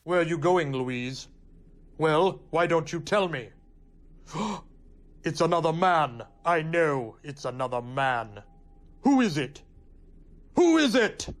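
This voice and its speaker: A man's voice: a little high, big pitch swings, slow pace, pauses often. Melodramatic, possessive lovesick man acted out by a man. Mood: jealous fury. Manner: pleading, insistent, melodramatic. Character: lovesick, possessive, hot-headed society young man. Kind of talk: old-time radio drama acting.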